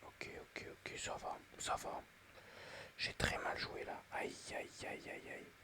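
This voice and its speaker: A man whispering ASMR-style commentary while playing a mobile game, chatty and excitable, addressing his viewers. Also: takes it slowly.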